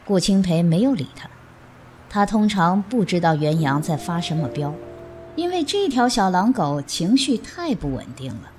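A woman's voice: fairly low pitch, sing-song, normal speed, darkish tone. A woman delivering scripted narration for an audio drama. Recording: music under the narration. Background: music.

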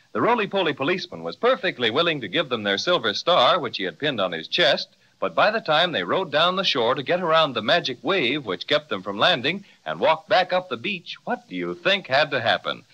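A man's voice: on the high side, sharp tone. A man narrating a children's radio serial as a playful, confiding storyteller. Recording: dull 1930s transcription.

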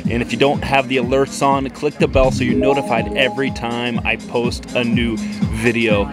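A man's voice: medium pitch, little pitch movement, normal speed. Enthusiastic male travel vlogger over music. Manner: enthusiastic, emphatic. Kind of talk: upbeat travel vlog presenting to camera. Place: outdoors, city streets and an open rooftop terrace. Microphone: handheld camera at arm's length.

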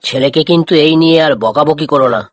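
A woman voicing a kind, patient village farmer father in a moral cartoon, gently coaxing and reassuring. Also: low voice, quick pace, no room sound. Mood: gently consoling. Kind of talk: Bengali moral cartoon dialogue.

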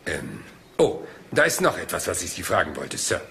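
A man's voice: low-pitched, sing-song, normal speed, gravelly, bright, forceful tone, a little hiss or hum, full character voice. An actor playing a rumpled, polite but shrewd police detective in a German radio crime drama, rambling and hesitant as he casually asks questions.

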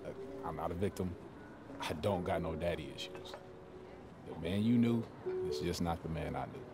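An actor with an American accent playing a guarded, proud young basketball player in casual game cutscene dialogue. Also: male voice, low-pitched, moderate pace, noisy background, natural voice. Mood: defensive. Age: young adult. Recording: loud music behind dialogue.

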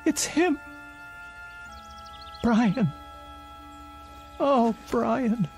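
Old domineering spinster in a radio drama, slow and haunted, music underneath. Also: female voice, a little high, animated, gravelly, a little mellow, full character voice. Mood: grieving, haunted. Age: older. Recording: music behind the voice.

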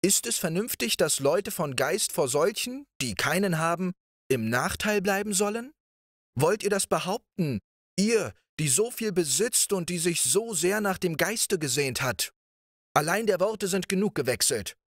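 Guy doing a clever ugly prince from a fairy tale, pushy and pleading, high and dry, no room sound. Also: expressive, measured pace, a bit bright, no room sound, exaggerated voice. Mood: insistent. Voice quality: pleading, emphatic, rising high. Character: witty ugly young prince, eager suitor.

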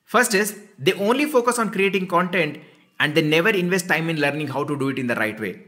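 A man's voice: on the high side, lively intonation, brisk, pushed and bright. A man in his thirties with an Indian accent, giving a scripted promotional talk as a coach. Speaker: YouTube coach. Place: small indoor room.

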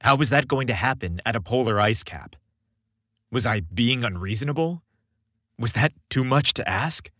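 Male podcast narrator, lively delivery. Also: mid-range voice, moderate pace, no echo, clean studio sound. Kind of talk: scripted story narration.

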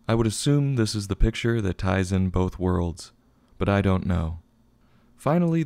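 A man's voice: sits low, darkish tone, dry recording. A man hosting a review show, reading a scripted review in a tongue-in-cheek manner. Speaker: book review show host.

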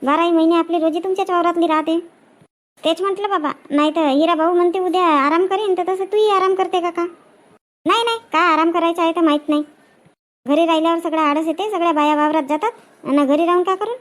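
Woman voicing a very high-pitched chatty village woman. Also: steady tone, fast. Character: chatty village farm labourer women. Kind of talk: cartoon comedy dialogue.

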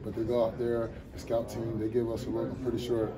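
A young man, a college football linebacker, answering reporters' postgame questions in a relaxed way with many "you know" fillers, recorded on handheld mics indoors. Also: mid-range voice, fairly quick. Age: young adult. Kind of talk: postgame press scrum answers. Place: locker room hallway, indoors, among reporters. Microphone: reporters' phones and handheld mics held close. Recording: noisy press scrum with crowd chatter.

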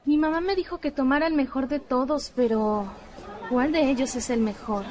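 Una mujer con acento latinoamericano interpreta a una adolescente sensata, observadora y expresiva en un doblaje de anime hecho por fans. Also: female voice, high-pitched, moderate pace, lightly acted. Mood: impressed. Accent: Latin American Spanish. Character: sensible, observant teenage schoolgirl, exasperated friend. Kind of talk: anime fan dub acting. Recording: loud music behind the voice.

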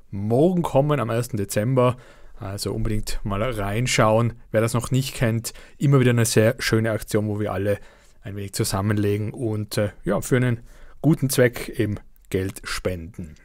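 A man's voice: medium pitch, darkish tone. A man, slightly under the weather, giving his viewers a casual monthly update on his gaming videos, chatty with fillers and an uneven pace. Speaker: let's play channel host.

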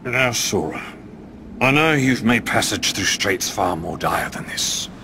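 A sly English pirate captain in a video game. He swaggers through his lines slowly, with slurred, theatrical phrasing and lively pitch, over faint shipboard ambience. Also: noisy background. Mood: cagey, theatrical. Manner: slurred, swaggering, roguish delivery. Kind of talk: video game cutscene acting.

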